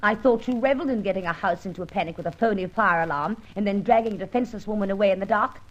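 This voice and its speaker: An actress playing a sharp-tongued, upper-class kidnapped heiress in an old-time radio detective drama, scornful, imperious and snappish. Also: female voice, mid-range voice, rapid-fire, highs cut off, close to an everyday voice.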